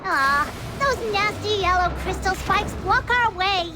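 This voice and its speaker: An actress voicing a boastful, childlike self-styled demon king girl in a video game, theatrical and excitable. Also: very high-pitched, takes it slowly, over music, heavily acted. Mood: excited. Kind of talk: video game character acting.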